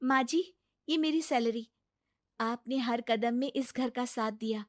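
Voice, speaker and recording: A gentle young daughter-in-law, put on by a woman narrator for a cartoon story. She speaks politely and earnestly in a high voice. The recording is dry. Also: unhurried, no room sound, lightly acted.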